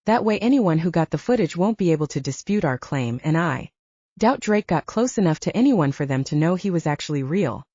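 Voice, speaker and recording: Lady reading as reassuring young werewolf woman, mellow and lively, firm but kind, audiobook, slightly dull audio. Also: deep voice, fairly quick, no room sound. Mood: calm, explaining. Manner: soothing, firm.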